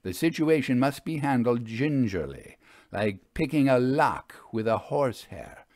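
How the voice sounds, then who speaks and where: A proud, theatrical male magician from a storybook, acted by a man. His speech is florid and formal, broken by coy hesitations. The pitch moves widely and the sound is dry. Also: very animated, measured pace, a little mellow, no room sound. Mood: theatrical, aggrieved. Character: famous male stage magician, proud, theatrical, evasively apologetic. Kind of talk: dramatized audiobook storytelling.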